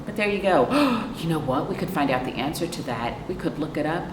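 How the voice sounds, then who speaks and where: An American woman hosting children's storytime as a librarian, reading picture books expressively and asking her young listeners questions. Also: sits low. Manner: expressive, asks listeners questions and pauses for answers. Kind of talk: picture book read-aloud for young children. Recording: roomy, echoing, noisy, far from microphone.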